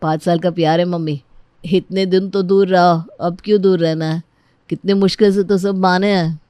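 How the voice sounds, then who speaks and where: A woman's voice: fairly low pitch, fairly even intonation, normal speed, warm tone, no room sound, dull audio, lightly acted. Woman acting an eager young bridegroom. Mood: eager, coaxing.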